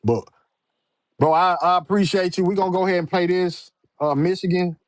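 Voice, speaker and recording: A man's voice: sits high, steady tone, brisk. A man hosting a show, chatting casually in an informal, slangy way. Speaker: show host. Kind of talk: casual live interview chat.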